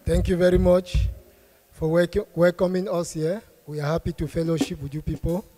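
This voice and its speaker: A man from Cameroon politely introducing his family to a church, speaking haltingly into a handheld microphone. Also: on the high side, flat delivery, warm tone, soft background music. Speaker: visiting church member. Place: church hall.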